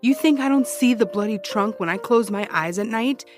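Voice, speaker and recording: An actress playing a brash, dogged ex-cop turned private detective in an audio drama, with a wry, insistent, teasing way of talking. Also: female voice, fairly high pitch, normal speed, over music, close to an everyday voice. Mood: pleading, determined. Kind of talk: naturalistic audio drama dialogue.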